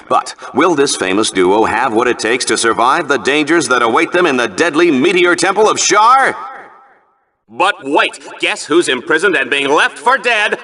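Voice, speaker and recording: An actor playing a smug, hammy announcer of a sci-fi arena show in a video game, with a showy, mock-dramatic delivery. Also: male voice, high voice, bright, forceful tone, full character voice. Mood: excited, bombastic, then gloating, gleeful. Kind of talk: bombastic sports-show commentary in a video game.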